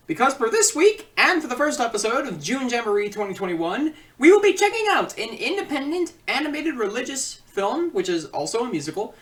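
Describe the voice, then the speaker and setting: Animated, bright-voiced man reviewing films. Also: sits high, recorded from a distance. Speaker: online film reviewer and host. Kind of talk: comedic video review.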